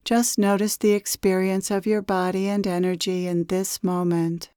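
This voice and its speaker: A woman leading a channeled guided meditation, speaking calmly and soothingly in measured phrases with long pauses. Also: medium pitch, smooth, no room sound, studio quality. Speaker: spiritual teacher leading a meditation.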